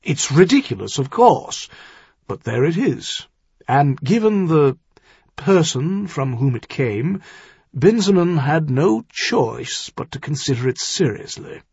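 A man's voice: a little high, sing-song, slow, creaky, a little mellow, breathy edge, no room sound, muffled recording, pitched above the performer's own voice, full character voice. A man voicing an earnest, exasperated older earl and worried father-in-law in an audiobook, urgent and insistent. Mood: careful, reluctant. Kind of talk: audiobook character dialogue.

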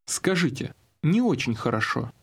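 A man's voice: medium pitch, big pitch swings, normal speed. A man giving scripted instructions in a language course, prompting the listener through each phrase. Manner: clear, guiding prompts.

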